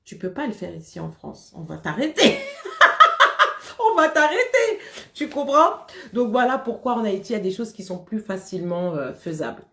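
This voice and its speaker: A woman's voice: mid-range voice, big pitch swings, moderate pace, sharp tone, echoey room. A woman hosting a live stream, chatting casually with viewers, laughing often and greeting them by name.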